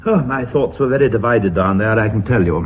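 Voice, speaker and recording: Man acting an urbane, low-voiced British company director. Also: lightly acted. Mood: urbane, wry. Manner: measured, authoritative, formal. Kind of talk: British radio drama acting. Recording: muffled, hissy old radio recording.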